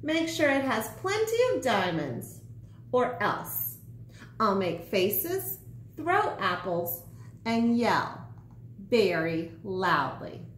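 A woman's voice: mid-range voice, big pitch swings, slow, reverberant, a little hiss or hum. A woman voicing a bossy, demanding, bratty little girl in a storybook read-aloud. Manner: demanding, threatening.

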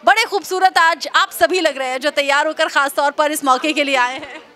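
A woman's voice: sits high, brisk. A woman in her thirties reporting live in a newsroom, chatting with colleagues on a handheld mic. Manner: lively, chatty, upbeat questioning. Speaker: news reporter. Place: busy indoor newsroom.

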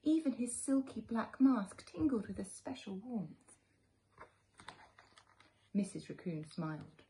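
A British woman in her thirties or forties, a teacher gently reading a children's storybook aloud at home. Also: mid-range voice, very animated, takes it slowly, poor audio. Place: living room at home.

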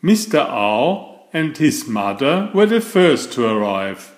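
Man, children's storybook narration, slow pace, animated pitch, mid-range voice, expressive phrasing. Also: reverberant. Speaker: storybook reader. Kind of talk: scripted children's picture book read-aloud.

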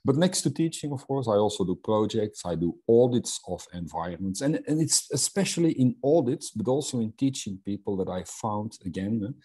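A man's voice: lowish voice, expressive, a little fast, hardly any pauses, mellow, breathy edge. A middle-aged man from Belgium whose first language is Dutch, presenting in an online webinar with frequent fillers and restarts. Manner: hesitant, many fillers and restarts. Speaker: Salesforce instructor.

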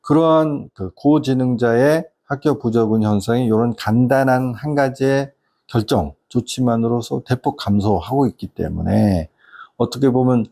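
A middle-aged man with a gravelly, creaky voice and a dark, relaxed tone. He speaks as a consultant hosting an informal explainer for parents. His delivery is earnest and discursive, pausing to find the next phrase. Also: mid-range voice. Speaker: educational consultant and channel host.

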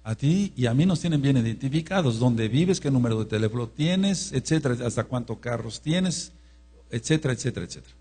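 A man preaching a sermon in an emphatic manner, rising to loud exclamations, with a husky voice. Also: medium pitch, lively intonation, brisk. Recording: mains hum.

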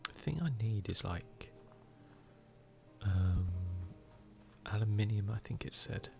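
A man softly giving casual ASMR commentary over a video game, often slipping into a whisper, with a self-deprecating manner. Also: fairly low pitch, fairly even intonation, takes it slowly, creaky, over music. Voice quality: soft-spoken, often whispery. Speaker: gaming video host.

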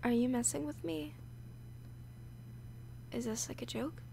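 A woman playing a nervous, awkward, obsessively lovesick young woman in an intimate audio roleplay, halting and often slipping into whispers. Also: sits high, vocal fry, warm tone, slight background noise. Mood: bewildered, wary. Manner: halting, hesitant, breaking into whispers.

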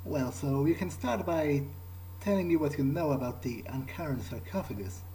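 A man improvising in character during a game playthrough, speaking in a measured, deliberate, hesitant manner. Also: fairly high pitch, warm tone, a little hiss or hum, poor audio. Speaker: gamer role-playing his character.

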